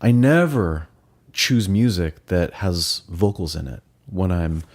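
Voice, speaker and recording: A very deep-voiced man hosts a casual talk show. He gushes with enthusiasm, stammering and restarting his sentences as he shares his thoughts and asks questions. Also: animated, slow, a little mellow. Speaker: podcast host. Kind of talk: casual interview chat.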